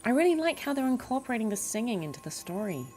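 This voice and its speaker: A woman's voice: a little high, very animated, quick pace, a little mellow. A woman giving casual live commentary on a game, thinking aloud as she reacts and muses. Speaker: game streamer. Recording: game music behind the voice. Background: game music.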